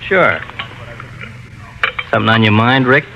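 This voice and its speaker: An earnest, weary police lieutenant in a vintage radio mystery. He has a middle-range voice with a firm, sharp edge. The aged recording carries faint noise. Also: mid-range voice, unhurried, creaky, rough recording. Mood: businesslike. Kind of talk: 1940s-50s radio drama acting. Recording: hissy old radio recording.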